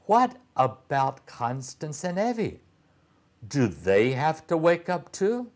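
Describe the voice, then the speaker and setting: A man voicing an eager, curious young boy adventurer in a storybook, in an excited, questioning manner. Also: on the high side, takes it slowly, pitched above the performer's own voice. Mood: pouting protest.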